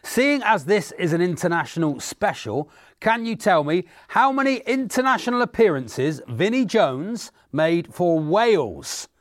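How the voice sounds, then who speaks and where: A man's voice: high voice, sing-song, no echo. Guy hosting a footy quiz show, bouncy up and down voice, dry close sound. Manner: brisk, playful quizmaster delivery. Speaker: quiz host. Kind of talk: comedy panel show hosting.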